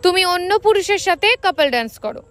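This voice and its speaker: A possessive man in a romance storybook, played by a woman narrator. The voice is high and animated, pressing each line with insistent command. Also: brisk. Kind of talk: audio storybook dialogue.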